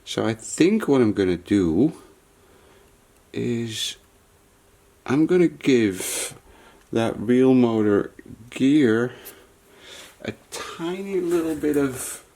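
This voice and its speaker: Slow-paced man thinking aloud over repair work. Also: mid-range voice, animated, warm tone. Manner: thinks aloud while working, talking to viewers. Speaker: repair video presenter. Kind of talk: casual hands-on tutorial commentary.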